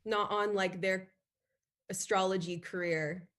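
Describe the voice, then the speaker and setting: A woman's voice: mid-range voice, fairly even intonation, unhurried, a bit bright. A woman in her twenties calling into an advice show, speaking hesitantly with many fillers over a video call. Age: 20s. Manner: hesitant, full of "like". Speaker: caller. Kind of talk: call-in conversation.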